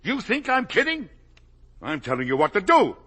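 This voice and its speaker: An actor playing an older, guilt-ridden, blustering father in 1950s radio drama, with a gravelly, raspy voice. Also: high-pitched, huge pitch swings, moderate pace, heavily acted. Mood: furious, defiant. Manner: blustering, emphatic, swinging from muttered to shouted.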